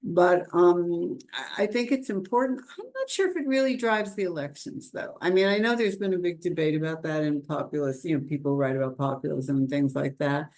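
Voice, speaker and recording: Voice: medium pitch, fairly quick, few pauses, a little mellow. A panelist on a video call, talking in a chatty, rambling way with frequent laughs and restarts. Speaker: webinar panelist. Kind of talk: conversational panel discussion. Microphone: computer mic on a video call.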